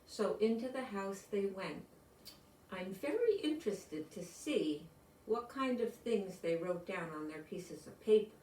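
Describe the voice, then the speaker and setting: Slow-reading older woman, echoey room. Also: mid-range voice, very animated, darkish tone, a little hiss or hum. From United States. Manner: simple, explanatory, addressing young listeners. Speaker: storybook reader. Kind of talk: read-aloud children's story with asides. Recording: roomy echo, distant microphone.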